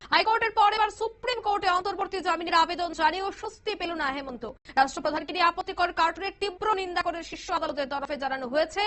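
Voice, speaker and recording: A woman anchors a news bulletin in a strikingly high-pitched voice. Her tone is bright and forceful, and her scripted delivery is formal and emphatic.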